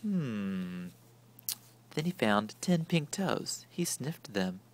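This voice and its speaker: A man reading a children's picture book aloud with deliberate, dramatic storytelling. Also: medium pitch, very animated. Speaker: story reader. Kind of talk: expressive picture-book narration.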